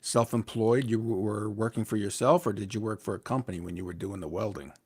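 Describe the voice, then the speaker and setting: A man's voice: on the low side, a little fast, husky, no echo. A man in his mid-fifties from the United States, hosting an interview in a loose, chatty way and asking questions with plenty of fillers. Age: 50s. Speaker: interview host. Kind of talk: casual interview chat.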